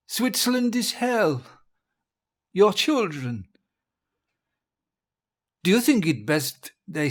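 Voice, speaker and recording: Crazy dying dictator man, audio play, talks real slow, super theatrical and all over the place, cruel n childish. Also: fairly high pitch, heavily acted. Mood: pleading. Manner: theatrical, volatile, slow and deliberate, swinging from hush to bellow. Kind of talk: dramatic monologue in an audio drama.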